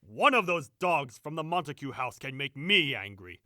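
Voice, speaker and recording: A man's voice: a little high, big pitch swings, moderate pace, sharp tone, no echo, clean studio sound, heavily acted. An actor playing a brash, boastful young male servant with a crude swagger in an audio drama. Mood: cocky.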